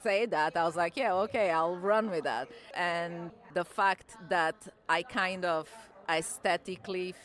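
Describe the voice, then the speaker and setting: Low-voiced woman, laughing often. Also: a bit bright. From born in Romania, raised in Angola. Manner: reflective, laughs easily, nonnative phrasing. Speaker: interviewee at a festival. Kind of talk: conversational interview answers.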